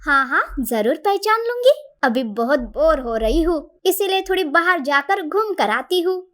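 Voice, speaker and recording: A woman voicing a chatty, clever female talking parrot in a cartoon story, with a sassy, quick-witted manner. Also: sits high, sing-song, fairly bright tone, heavily acted. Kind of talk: cartoon voice acting.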